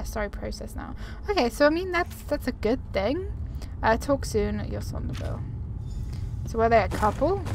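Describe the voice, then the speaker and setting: A woman giving casual, chatty commentary on a game, informal and a little apologetic, sometimes reading its text aloud. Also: medium pitch. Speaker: gaming video creator.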